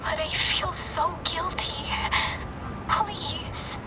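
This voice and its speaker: An actress in an English game dub playing a frail, anxious, guilt-ridden woman, meek, breathy and hesitant. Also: extremely high pitch, big pitch swings, extremely slow, loud music behind the voice, full character voice, poor audio. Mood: pleading. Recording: loud ambient noise over the voice. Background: loud ambient noise.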